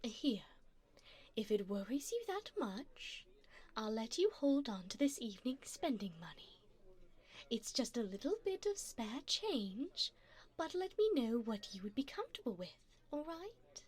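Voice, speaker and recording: Woman playing a doting, elegant vampire sugar mommy, high lilting voice. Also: big pitch swings, a little slow, darkish tone, breathy edge, full character voice. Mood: indulgent, reassuring. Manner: coaxing, refined, pampering endearments. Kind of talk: ASMR romantic audio roleplay.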